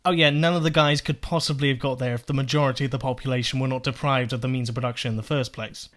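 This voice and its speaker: A man's voice: medium pitch, fairly even intonation, fast, a bit bright. A young man giving sarcastic, profane political commentary, firing off rhetorical questions as he rebuts clips. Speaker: political video essayist.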